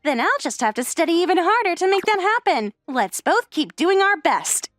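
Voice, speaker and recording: Woman acting a high-pitched, haughty rich schoolgirl. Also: big pitch swings, normal speed, no room sound. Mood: polite, earnest. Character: rich, poised high school girl, sharp-tongued, lazily demanding at home. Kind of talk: anime-style manga dub acting.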